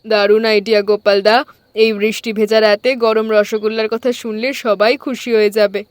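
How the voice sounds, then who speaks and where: A woman's voice: medium pitch, flat delivery, brisk, dry recording, natural voice. A woman voicing a caring, helpful village matron with an earnest, familiar manner in a cartoon. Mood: happy. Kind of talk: dramatized cartoon dialogue. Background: background music.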